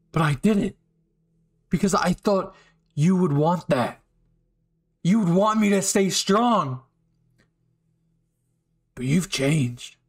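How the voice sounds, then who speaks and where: A man's voice: a little high, breathy edge, exaggerated voice. A man voicing a hot-tempered, loyal young hero in an anime roleplay, intense and pleading. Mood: grim resolve. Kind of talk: anime fan ASMR roleplay acting.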